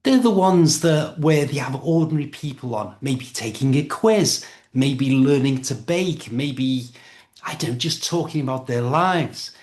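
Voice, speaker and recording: A man's voice: mid-range voice, unhurried. A man from Liverpool with a Liverpool accent, an English conversation tutor explaining things in a casual chat. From Liverpool, England. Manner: lively explaining, with accent impressions.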